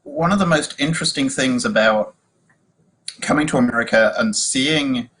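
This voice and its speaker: A man's voice: medium pitch, normal speed, fairly bright tone, breathy edge, rough recording. A young man with a British accent giving thoughtful, conversational commentary as a panel guest on a video call from home. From Britain. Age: young adult. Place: small room at home, indoors.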